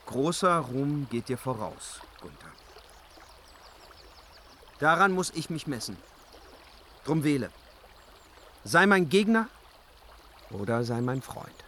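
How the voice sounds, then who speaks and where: An actor playing a bold, open-hearted young hero in a fantasy radio drama, with an earnest, heroic manner. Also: male voice, mid-range voice, very animated, takes it slowly, pauses often, pushed and bright, big-room sound, a little hiss or hum, exaggerated voice.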